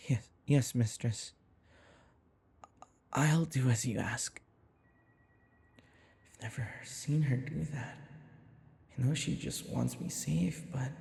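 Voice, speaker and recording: A man acting a nervous, devoted young manservant in an audio roleplay, hesitant and deferential, with a soft, breathy, gravelly voice. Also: mid-range voice, full character voice. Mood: anxious, devoted. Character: nervous, devoted, submissive young human manservant to a vampire mistress.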